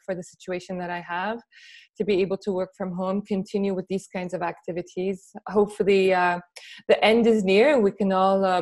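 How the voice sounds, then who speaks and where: A woman's voice: medium pitch, darkish tone. Reflective woman from Lebanon answering questions. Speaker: interview guest, documentary director and producer. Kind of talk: spoken interview answers in a film Q&A.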